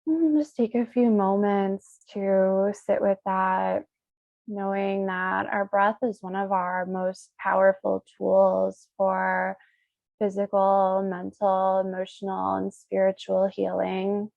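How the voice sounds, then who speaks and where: Woman doing a guided reiki meditation, really slow n soothing, bit of vocal fry, kinda dull recording. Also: medium pitch, little pitch movement, no echo. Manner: soothing, unhurried, pausing between short phrases.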